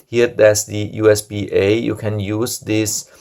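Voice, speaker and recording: Low gravelly man reviewing a gadget. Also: little pitch movement, a little slow, a little mellow. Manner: plain, matter-of-fact explaining, walking through features step by step. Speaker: product reviewer. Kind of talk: casual product review, demonstrating a device.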